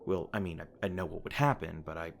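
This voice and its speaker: Man acting a low-voiced, weary monster hunter. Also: vocal fry. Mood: wry, casual. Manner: halting, sardonic, swearing, reassuring. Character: weary, guarded male monster hunter, gruff but caring. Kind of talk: audio roleplay, one-sided dialogue to the listener. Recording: noisy ambient sound effects behind voice. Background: ambient sound effects.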